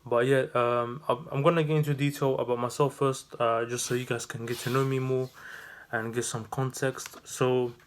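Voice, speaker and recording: A man's voice: mid-range voice, level tone, normal speed. A man in his twenties from the Netherlands, talking off the cuff in a casual vlog, with lots of fillers and restarts. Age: 20s. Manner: rambling, many fillers and restarts. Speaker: vlogger and new business owner.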